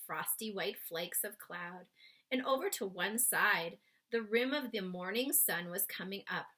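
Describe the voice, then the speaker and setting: Bright-voiced woman reading a children's story aloud. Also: medium pitch. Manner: expressive, dramatic pauses, addresses young listeners.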